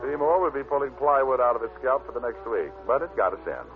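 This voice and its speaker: An actor playing a wisecracking, confident private detective with an American accent, dry and sardonic, in an old-time radio drama. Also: male voice, medium pitch, lively intonation, quick pace, loud music behind the voice, close to an everyday voice. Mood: dry narrating. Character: wisecracking, confident male private detective, narrating his case. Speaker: lead actor and narrator. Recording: muffled, noisy old radio broadcast.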